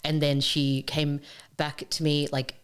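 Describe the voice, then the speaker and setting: A woman's voice: sits low, little pitch movement, takes it slowly, clean tone. A woman, a podcast guest chatting casually, hesitant with frequent restarts and laughter. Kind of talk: casual chat.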